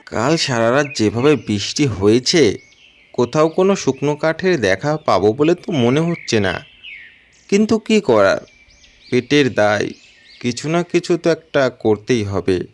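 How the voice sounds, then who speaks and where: A man's voice: mid-range voice, sing-song, measured pace. A man voicing a poor, kindly woodcutter father in a cartoon story, homely, weary and dutiful. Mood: weary, musing.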